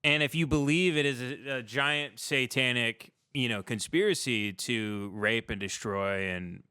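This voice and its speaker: American man, forceful bright voice, dry close sound, casual opinionated rant, rambling, swearing. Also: mid-range voice, measured pace, smooth, no echo. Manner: profane, emphatic, rambling. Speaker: podcast host.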